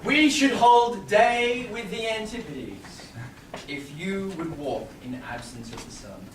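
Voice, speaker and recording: A grateful young gentleman husband, played by a man in a Shakespeare stage reading. His speech rises and falls widely, heard from far off in an echoey theatre. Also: high voice, animated, slow. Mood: gracious. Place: small theatre stage, far audience camera. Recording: echoey stage, distant, faint noise.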